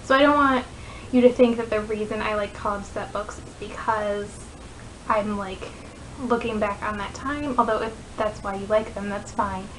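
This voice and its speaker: A woman's voice: medium pitch, fairly even intonation, normal speed, a little hiss or hum, poor audio. A woman in her twenties with an American accent, chatting casually as she gives book recommendations in a vlog. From northeastern United States. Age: 20s. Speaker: book vlogger.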